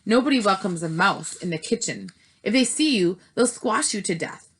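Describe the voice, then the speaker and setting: A woman's voice: medium pitch, expressive, moderate pace, fairly bright tone, husky, low-quality recording. A woman voicing a loving, commanding older grandmother in a storybook read-aloud, speaking emphatically. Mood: urging.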